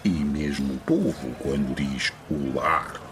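A man's voice: very deep voice, slow, over music. A man playing a jovial, musing party guest who holds forth expansively in a radio soap opera. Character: jovial rambling male party guest fond of kitchens.

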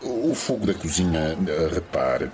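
A man's voice: extremely low pitch, very animated, measured pace, background noise, exaggerated voice. A man playing a jovial, musing party guest who holds forth expansively in a radio soap opera. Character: jovial rambling male party guest fond of kitchens.

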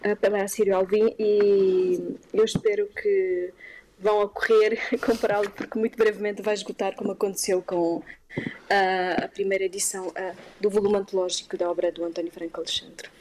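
A woman guest talking about books, her first language not the one she speaks. Her pitch sits mid-range. She hesitates often, filling gaps with soft sounds and small laughs between phrases. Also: level tone, normal speed, a little hiss or hum, low-quality recording. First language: not Portuguese. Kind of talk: recommending books in conversation.

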